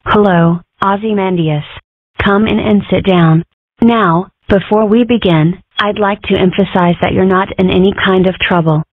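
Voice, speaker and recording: A woman's voice: medium pitch, steady tone, normal speed, no room sound, close to an everyday voice. Woman playing a formal psychiatrist, phone-like sound. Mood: reassuring. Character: courteous, reassuring school psychiatrist, grown woman. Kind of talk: comic strip dub. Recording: muffled, phone-like narrow sound.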